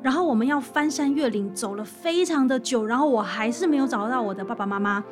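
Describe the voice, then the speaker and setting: A woman's voice: on the high side, expressive, quick pace, music in the background. A woman with a Taiwanese Mandarin accent explaining things conversationally as an interview guest. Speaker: author guest.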